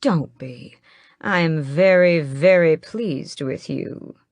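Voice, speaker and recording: A sinister male vampire drawls each line slowly and with lazy mockery, voiced by a woman. His voice sits low in a dry, close sound. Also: darkish tone, no echo, full character voice. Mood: mocking. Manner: drawling, mocking, unhurried.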